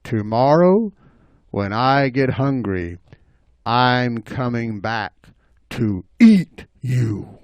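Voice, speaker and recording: A big, menacing bobcat from a children's story, acted out by a man. He speaks very slowly, savoring every word with hungry relish. The recording is close and dry. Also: mid-range voice, animated, no room sound, pitched below the performer's own voice. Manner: slow, drawn-out, menacing delivery.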